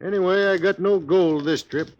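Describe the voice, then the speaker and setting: An actor playing a grumbling, suspicious stagecoach driver with a gravelly voice and an American Western accent in a 1950s radio western. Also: male voice, high voice, steady tone, moderate pace, close to an everyday voice. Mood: gruff, grumbling. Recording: dull vintage radio recording.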